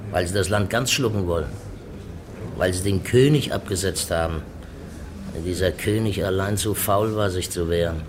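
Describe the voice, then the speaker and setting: A blunt, sardonic guard played by a man speaks in a low voice in a radio drama. He sounds knowing and emphatic over clearly audible background noise. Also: normal speed, natural voice. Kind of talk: German radio play acting.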